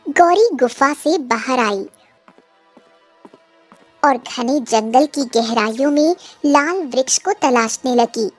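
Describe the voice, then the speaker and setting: A woman's voice: high-pitched, slow, pauses often, soft background music. A woman narrator reading a scripted children's cartoon story.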